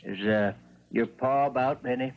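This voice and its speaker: An actor playing a folksy small-town Southern judge, sly and probing, in a drawling 1970s radio drama style. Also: male voice, medium pitch, normal speed, phone-quality sound, lightly acted.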